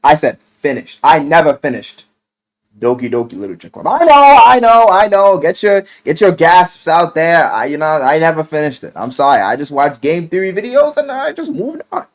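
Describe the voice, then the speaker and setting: A man's voice: on the high side, very animated, normal speed. A man giving casual, loosely scripted video commentary, rambling and emphatic, with slangy, profane talk. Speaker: YouTuber reviewing a visual novel. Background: music.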